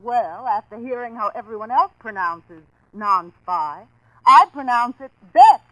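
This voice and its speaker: A woman's voice: fairly high pitch, animated, a little slow, a bit bright, no echo, rough recording. A woman playing an eager, inquisitive customer with perky exclamations in a 1940s radio commercial. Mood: delighted. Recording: dry 1940s radio broadcast.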